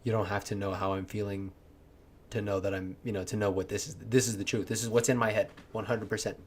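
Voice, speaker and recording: A man's voice: fairly low pitch, flat delivery, quick pace, slight background noise. A young man in casual chat, rambling earnestly about grinding for his goals, swearing often and laughing easily. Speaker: content creator.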